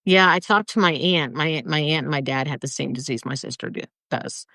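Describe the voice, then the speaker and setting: Soft, hesitant voice, dry sound. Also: mid-range voice, a little fast, creaky, dry recording. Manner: hesitant, reflective, soft-spoken with restarts. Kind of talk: casual conversation.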